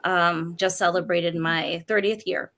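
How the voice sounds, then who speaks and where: A woman in her fifties from the Midwest talks slowly in a fairly low voice. She chats easily as a guest, laughing often and sounding excited. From Midwest of the United States. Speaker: teacher and guest. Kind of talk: casual chat.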